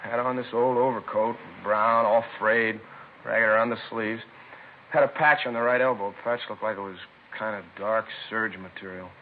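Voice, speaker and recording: An actor playing a chatty, put-out store manager, a robbery witness who talks in colloquial fragments, in naturalistic 1950s radio drama style. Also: male voice, on the low side, fairly even intonation, normal speed, lightly acted. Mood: obliging. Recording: lo-fi noisy 1950s radio recording.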